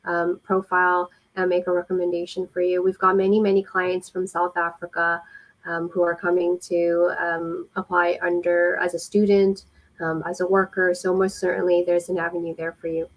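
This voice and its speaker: A woman's voice: lowish voice, level tone, normal speed, low-quality recording. Woman giving informal, hesitant advice. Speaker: immigration consultant answering viewer questions. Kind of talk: informal live question-and-answer advice, reading questions aloud.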